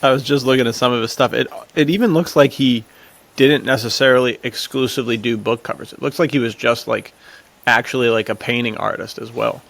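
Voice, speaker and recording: Man chatting casually, average-pitched voice. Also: fairly even intonation, fairly quick, creaky, fairly bright tone. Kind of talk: casual podcast chat.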